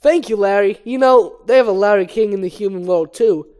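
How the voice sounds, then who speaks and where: A man's voice: high voice, fairly quick, darkish tone, clear, resonant tone, natural voice. A man voicing a chatty, polite male cartoon bee in a casual, earnest way. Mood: insistent.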